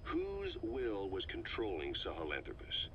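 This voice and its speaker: A man playing a grave intelligence officer in a video game, giving a deliberate, brooding briefing with dramatic pauses. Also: low-pitched, gravelly, heavily acted. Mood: grave, analytical. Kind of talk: scripted briefing on a cassette tape, video game acting. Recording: muffled cassette tape with steady noise.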